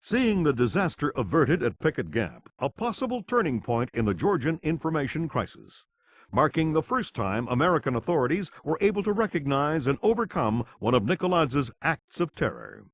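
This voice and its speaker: An actor playing an earnest television news anchor, formally delivering a scripted crisis report in a game. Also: male voice, on the high side, very animated, moderate pace. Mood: grave, urgent. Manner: formal, grave.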